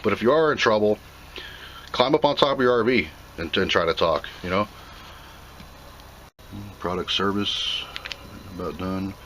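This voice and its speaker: A man's voice: lowish voice, normal speed, long pauses, fairly bright tone, slight background noise, low-quality recording. A plainspoken man giving an off-the-cuff, casual commentary as he reviews and unboxes a product.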